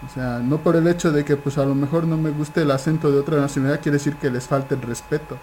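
A man's voice: fairly high pitch, fairly even intonation, quick pace, darkish tone, a little hiss or hum. A man speaking in an informal Bible discussion, hesitant and full of fillers and restarts. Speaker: participant in an online Bible study. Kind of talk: casual informal testimony.

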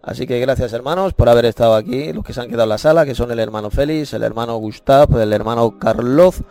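Spanish guy running an online bible chat, slow and repetitive, soft music behind. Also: mid-range voice. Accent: Spain Spanish. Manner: unhurried, repeats phrases, addresses listeners as brothers. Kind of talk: casual preaching and closing remarks.

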